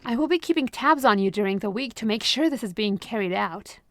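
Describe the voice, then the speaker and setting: A woman voicing a manipulative, possessive female therapist who talks in a honeyed, calmly controlling way, in an ASMR roleplay recorded close to the mic. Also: medium pitch, brisk, dry recording, close to an everyday voice. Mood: smooth, controlling. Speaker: voice actress.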